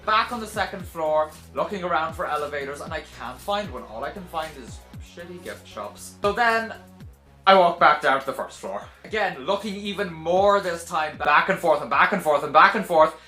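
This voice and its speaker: A young man telling a casual vlog story to camera in a dramatic, animated way, with sarcastic, self-deprecating asides. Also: fairly high pitch, normal speed, soft background music. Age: young adult. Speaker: video blogger telling a personal story. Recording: roomy echo.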